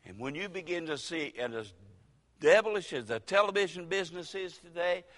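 Older preacher in an echoing church. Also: male voice, on the high side, animated. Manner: folksy preaching with dramatic pauses and sudden loud rises. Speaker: pastor. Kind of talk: sermon.

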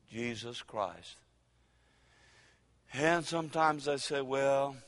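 An older man preaches in a drawl, folksy and full of dramatic pauses that break into sudden loud rises. His voice is bright and forceful. It rings out with a long reverb through a church hall. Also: medium pitch. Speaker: pastor. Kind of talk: sermon.

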